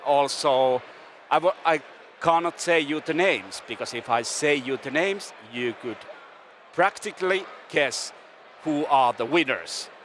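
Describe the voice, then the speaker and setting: A man's voice: fairly high pitch, fairly bright tone, a little hiss or hum. A man from Finland with a Finnish accent, hosting a live event stream from a desk upstairs at a restaurant venue, chatty and playful. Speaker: stream host.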